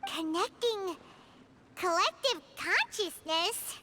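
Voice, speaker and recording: A woman voicing a tiny, childlike, excitable fairy companion in a video game, squeaky and inquisitive. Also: takes it slowly, heavy background noise, full character voice. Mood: puzzled. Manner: exclamatory, inquisitive. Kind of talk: video game character acting. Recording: game music behind the voice.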